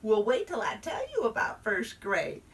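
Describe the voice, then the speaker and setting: A woman's voice: medium pitch, sing-song, normal speed, distant mic, close to an everyday voice. A woman storyteller voicing a chatty little first-grade girl who confides in her listeners. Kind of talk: performed storytelling.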